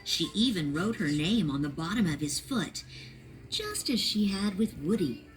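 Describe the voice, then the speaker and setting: A woman narrating a children's read-along storybook in a clear, expressive storytelling manner. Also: on the low side, unhurried, faint music behind, poor audio.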